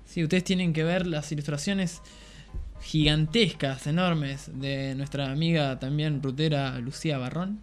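A man's voice: fairly high pitch, steady tone, a little slow, faint music behind. A man co-hosting a radio chat, introducing segments enthusiastically. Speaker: radio co-host.

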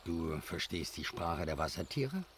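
An actor playing a scheming, mocking warrior villain in a fantasy radio play, sly and goading. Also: male voice, low-pitched, big pitch swings, measured pace, slight background noise. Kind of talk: radio drama acting.

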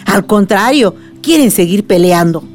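A woman's voice: medium pitch, lively intonation, a little slow, a little mellow, soft background music, natural voice. An actress playing a skeptical, blunt village woman in a radio drama, grumbling in colloquial exclamations.

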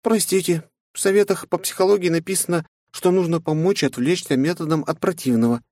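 A man's voice: a little high, brisk, darkish tone, no echo, clean studio sound, voice pushed up, close to an everyday voice. A male narrator voicing a polite, formal female AI assistant in an audiobook. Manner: formal, advisory phrasing. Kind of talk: audiobook character voice.

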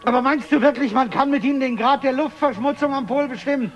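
A woman's voice: high voice, quick pace, dull audio, soft background music, natural voice. An actress playing a practical, caring woman who supports a polar expedition, in radio drama dialogue. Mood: caring, brisk.

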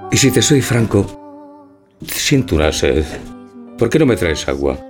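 An actor playing a timid, naive older bridegroom in a stage tragicomedy, hesitant, anxious and full of wonder. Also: male voice, on the low side, big pitch swings, a little slow, soft background music. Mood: anxious, bewildered. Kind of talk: theatrical tragicomedy audio drama.

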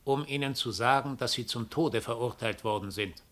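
Male actor as implacable killer double for radio drama; mid-pitched, measured, solemn and formal. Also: a bit bright, close to an everyday voice. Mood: calm, implacable. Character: calm, implacable doppelgänger, a confessed killer bringing a death sentence.

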